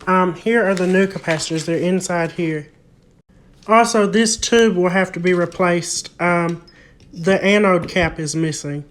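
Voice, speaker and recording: A man's voice: high voice, a little slow, a bit bright. A young man talks casually through a repair job, explaining it step by step. His voice is recorded close on a phone in a room at home. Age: young adult. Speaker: hobbyist vlogger. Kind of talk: casual hands-on repair demonstration. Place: indoor room at home, at a wooden table. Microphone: handheld phone close by.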